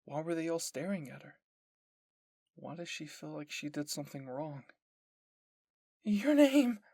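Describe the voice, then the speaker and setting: A man's voice: fairly high pitch, steady tone, normal speed, gravelly, slightly rough, no echo, studio quality. A man reading scripted story narration as the narrator.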